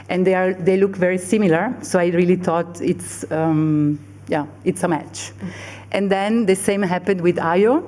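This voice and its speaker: A woman's voice: fairly low pitch, darkish tone. A woman film director answering panel questions, hesitant with many fillers and restarts.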